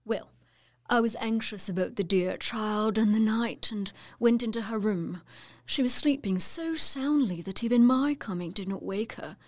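A woman's voice: medium pitch, moderate pace, a little mellow, dry recording, studio quality, close to an everyday voice. An actress as a fussy, genteel Victorian mother. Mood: pleased, fussing. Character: genteel, fussy, self-satisfied middle-aged mother, Victorian lady. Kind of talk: dramatic audio drama acting.

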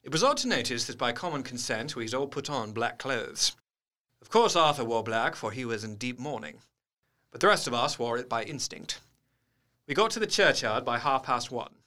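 A man's voice: mid-range voice, animated, moderate pace, pushed and bright. A man playing an earnest diarist recounting horrors in a gothic audio drama, with formal, intense, literary narration. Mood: grim, sombre.

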